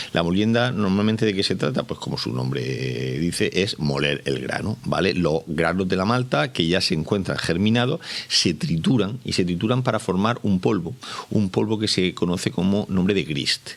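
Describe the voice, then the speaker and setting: A man's voice: sits low, vocal fry. A man speaking as an academic expert, explaining informally and didactically, often posing questions and answering them himself. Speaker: biochemistry professor, expert.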